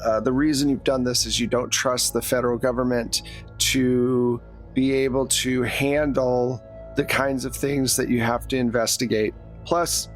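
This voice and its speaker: A man narrating a tabletop roleplaying game as game master, telling the story in a conversational way. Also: medium pitch.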